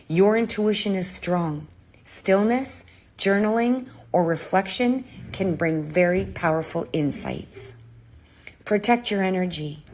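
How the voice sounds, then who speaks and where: A woman with a hoarse voice, giving slow, measured spiritual guidance as a self-help vlogger. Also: lowish voice, darkish tone. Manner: slow, measured, reassuring advice. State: hoarse, losing her voice.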